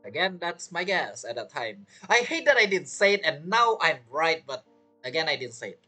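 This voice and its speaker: A game streamer commenting casually on a playthrough. The voice sits at a middling pitch and moves up and down a lot, with choppy non-native phrasing over game music. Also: big pitch swings, moderate pace, pushed and bright.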